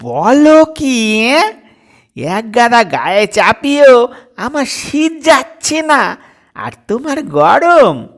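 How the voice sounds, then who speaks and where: A man's voice: very high voice, big pitch swings, slow, fairly bright tone, voice pushed up, heavily acted. A man voicing a fussy, talkative older father-in-law, a lawyer in a comic story who speaks emphatically and rambles. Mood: surprised, insistent.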